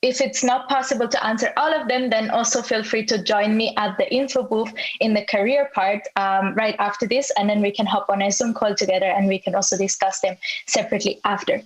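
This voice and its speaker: A woman's voice: mid-range voice, steady tone, brisk, poor audio. Young Austrian woman presenting a webinar. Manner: friendly, many ums and restarts. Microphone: video call.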